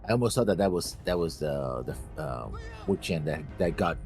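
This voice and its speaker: A man's voice: deep voice, lively intonation, moderate pace, darkish tone, soft background music. A man co-hosting a reaction show, chatting casually as he reacts to what he watches.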